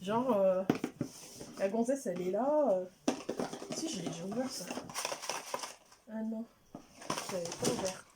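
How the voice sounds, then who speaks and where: A woman's voice: mid-range voice, unhurried, long pauses, heavy background noise. A woman chatting casually as she unboxes beauty products, rambling and interrupting herself, sometimes dropping to a near-whisper. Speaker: beauty vlogger.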